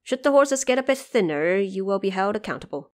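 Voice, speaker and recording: A woman voicing lowly stable officials in an audio drama, frank and deferential as they explain things. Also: lively intonation, fairly quick, a little mellow, lightly acted. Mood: frank, cautionary.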